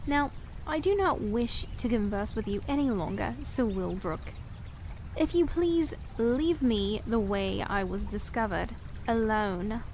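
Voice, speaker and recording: A woman's voice: mid-range voice, expressive, a little slow, darkish tone, a little hiss or hum. A woman playing a proud young princess in a fantasy audio drama, with formal, old-fashioned, precise diction. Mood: guarded, curt. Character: proud young princess, soft-spoken yet defiant, sharp-tongued.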